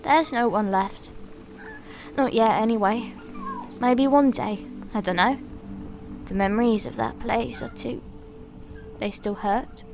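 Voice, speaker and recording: Actress doing shy young summoner, gentle, highish voice, fantasy audio drama, music behind. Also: female voice, slow pace, long pauses. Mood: quiet sorrow.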